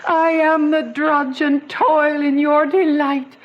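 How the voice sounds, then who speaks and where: An actress playing a weary, teasing old nurse who groans and complains in a theatrical Shakespearean drama. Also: female voice, sits high, level tone, takes it slowly, muffled recording. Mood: bustling, teasing. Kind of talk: Shakespearean stage-style drama.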